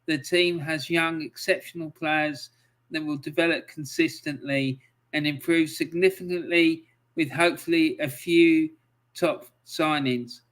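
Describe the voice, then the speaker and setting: Man hosting a podcast, chatty and easygoing, bright voice, fairly high pitch. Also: fairly even intonation, unhurried. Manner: chatty, laughs often, filler-heavy. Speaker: podcast host. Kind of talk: casual interview, reading viewer comments.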